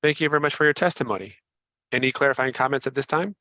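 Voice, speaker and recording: A man's voice: medium pitch, fast, pushed and bright, no echo, muffled recording. A man moderating a public hearing in a polite, procedural way. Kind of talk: formal moderation.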